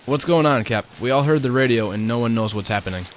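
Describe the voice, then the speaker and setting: A man's voice: mid-range voice, fast, natural voice. A man playing an uneasy, questioning army corporal in an amateur wartime radio drama. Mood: anxious. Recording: faintly noisy amateur recording.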